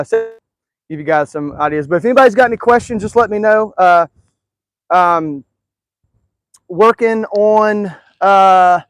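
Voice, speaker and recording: High-voiced man rambling on a live stream. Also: no echo. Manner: rambling, filler-heavy, easygoing. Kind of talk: casual live-stream chat. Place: outdoors in a backyard.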